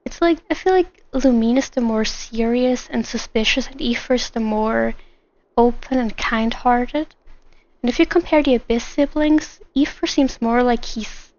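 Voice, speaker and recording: A woman giving casual, rambling live commentary while streaming a game, in an animated manner. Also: on the high side, highs cut off. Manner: animated, rambling, acts out imagined lines. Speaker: streamer, gamer.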